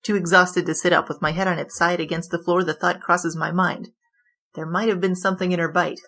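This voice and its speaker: Cocky, low-voiced woman bandit king. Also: little pitch movement, fairly quick, vocal fry, a bit bright. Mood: rueful storytelling. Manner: wisecracking, boastful, slangy storytelling. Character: brash, cocky young woman bandit king confessing crimes. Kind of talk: 1920s noir comedy audio drama. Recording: music behind the voice. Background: background music.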